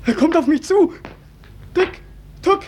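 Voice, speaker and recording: An actor playing an anguished, despairing young soldier home from war in a 1940s radio play, with urgent questioning and dramatic repetition. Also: male voice, very high-pitched, expressive, slow pace, darkish tone, exaggerated voice. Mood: mounting terror. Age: young adult. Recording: noisy, crackly 1940s radio recording.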